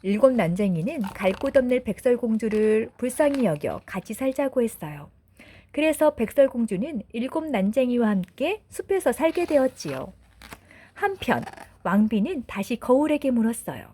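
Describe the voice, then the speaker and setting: Animated woman storyteller, fairly high voice. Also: husky. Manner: gentle, deliberate storytelling for children. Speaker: storyteller mother. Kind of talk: expressive bedtime fairy-tale narration.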